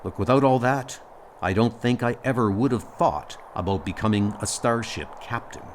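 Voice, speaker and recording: A man playing a measured, earnest, loyal starship captain in an audio comic dub. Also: lowish voice, a little hiss or hum, lightly acted. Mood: reflective. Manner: deliberate, earnest.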